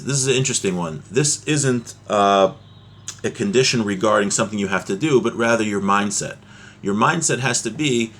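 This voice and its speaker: Male religious teacher, fairly deep voice, explaining in plain informal terms, slight noise behind him. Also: fairly bright tone. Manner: explanatory, with everyday examples. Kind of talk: informal religious text lesson, reading and explaining.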